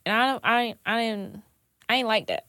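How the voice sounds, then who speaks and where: A woman's voice: fairly high pitch, normal speed, sharp tone, no room sound. Chatty candid young woman, dry sound. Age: 20s. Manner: candid and chatty, swears freely, laughs often. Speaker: podcast guest, singer. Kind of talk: casual interview chat.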